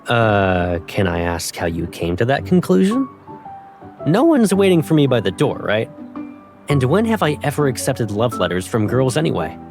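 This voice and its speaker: A man's voice: mid-range voice, big pitch swings, moderate pace, darkish tone. A man playing an easygoing, teasing young man in a fan dub of an otome game. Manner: playful, teasing. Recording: voice over guitar music.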